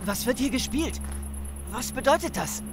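An actor playing a practical, cautious survivor in a German zombie audio drama. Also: male voice, very high voice, expressive, normal speed, over music. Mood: impatient.

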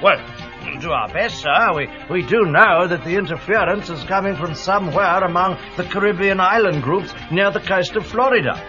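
An earnest intelligence chief, voiced by a man, reporting formally to a superior. His voice is notably high. The sound is a muffled lo-fi tape with music behind. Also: normal speed, lightly acted. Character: British spy chief briefing his superior. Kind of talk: 1980s storybook record acting.

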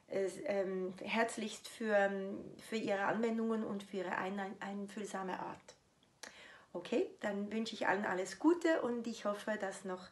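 Fifties woman, halting sincere testimonial, seated before a camera indoors. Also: medium pitch. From Switzerland. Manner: hesitant, many fillers and restarts, earnest. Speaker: coach giving a testimonial. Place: small bright room indoors.

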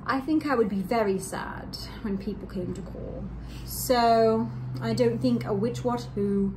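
A woman's voice: fairly high pitch, a little slow, noisy background. A young woman with a British accent reading a children's story aloud in a theatrical, exclamatory, genteel way. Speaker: co-host of children's read-aloud channel. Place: living room with piano, indoors.

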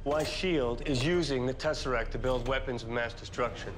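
A man's voice: mid-range voice, moderate pace, heavy background noise, lightly acted. Male actor, superhero game: uneasy, wry scientist, mid-range voice, dry delivery. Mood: wry, wary. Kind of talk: dramatic superhero game cutscene. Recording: loud music behind dialogue.

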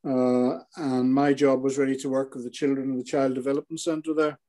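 A man's voice: medium pitch, little pitch movement, a little fast, mellow. An older man with a Northern Irish accent, a retired paediatrician recounting his career in a video call interview, with frequent fillers and restarts. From Northern Ireland. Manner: hesitant, many fillers and restarts. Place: living room in an armchair. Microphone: laptop webcam video call.

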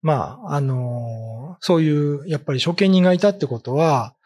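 A man's voice: fairly high pitch, normal speed, a little mellow, slightly rough. A middle-aged man giving a casual, explanatory talk on folklore in a conversational manner, speaking into a desk microphone. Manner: conversational, explaining with everyday examples. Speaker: ghost story writer and commentator. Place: indoors, seated at a desk. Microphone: desk microphone with pop filter.

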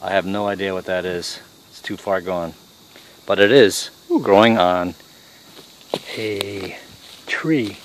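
A middle-aged man with a fairly low voice talks bluntly and casually, tossing off salty remarks. He is outdoors on a forest trail, recorded on a handheld camera. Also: slow pace, long pauses, low-quality recording. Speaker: father showing mushrooms on a hike.

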